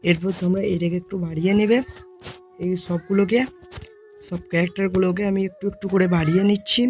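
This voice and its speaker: Tutorial host with an even, unvarying delivery. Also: mid-range voice, fast talker, lo-fi sound, soft background music. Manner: informal instruction, addresses viewers as friends. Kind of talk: step-by-step software tutorial narration.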